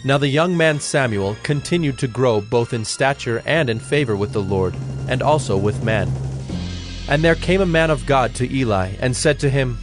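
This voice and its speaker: A male narrator reads a scripture story. His medium-pitched voice is measured and solemn. Faint music sits behind the narration. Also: lively intonation.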